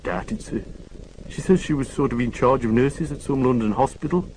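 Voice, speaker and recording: A man's voice: medium pitch, animated, fairly quick, darkish tone, slight background noise, pitched above the performer's own voice, close to an everyday voice, low-quality recording. A man reading an audiobook, voicing a deferential young police constable.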